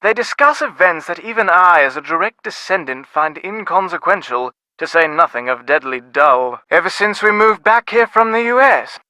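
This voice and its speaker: Pompous English gentleman, bright crisp voice. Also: sits high, expressive, normal speed, few pauses, no room sound, full character voice, low-quality recording. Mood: dry disdain. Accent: British. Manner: pompous, wordy, dryly sardonic. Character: snobbish, dismissive middle-aged English father of aristocratic family. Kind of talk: scripted video game dialogue.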